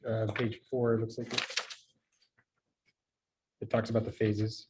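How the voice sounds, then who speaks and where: A man's voice: on the low side, completely flat delivery, a little slow, warm tone, husky, poor audio. A man speaking as a town official, reading out and discussing documents in a meeting.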